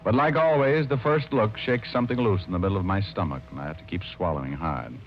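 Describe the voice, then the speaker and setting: Casting: male actor for wry hard-boiled private detective, lowish voice, old radio sound. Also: quick pace, natural voice. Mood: dry narration. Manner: dry, sardonic. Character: wisecracking city private detective, dry and tough. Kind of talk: 1950s radio acting, first-person narration and dialogue. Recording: muffled vintage radio recording, music behind.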